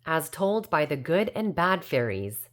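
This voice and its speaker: A woman reading a children's storybook aloud in an expressive way. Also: low voice, unhurried. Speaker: storybook read-aloud reader.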